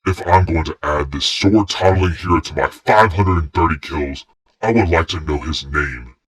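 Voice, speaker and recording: A man's voice: normal speed, breathy edge. A man voicing a brutish, boastful giant gate guard in an audiobook, with a heavy, taunting delivery. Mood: mocking boast. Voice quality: very deep, heavy voice. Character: hulking brutish giant gate guard, gory, mocking, proud.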